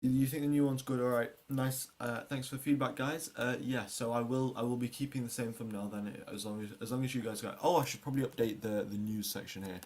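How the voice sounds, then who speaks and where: A man's voice: medium pitch, steady tone, quick pace, hardly any pauses, darkish tone. Man hosting a casual, rambling livestream. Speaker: livestream host. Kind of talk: casual livestream chat with viewers and race preview commentary.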